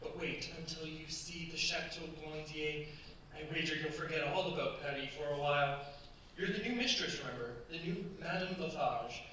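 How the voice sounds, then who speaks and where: An actor playing an eager, doting young French bridegroom in a staged radio play, with a courtly, effusive manner. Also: male voice, a little high, normal speed, sharp tone. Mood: tender, eager. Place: staged performance in a cultural centre. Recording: very echoey hall, distant mics, faint hiss.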